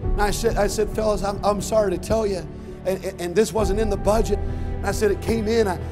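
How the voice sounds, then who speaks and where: A man's voice: sits high, steady tone, fairly quick. A man who is a pastor, recalling the church's early years in an earnest, reflective interview. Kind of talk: documentary interview recollection. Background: soft background music.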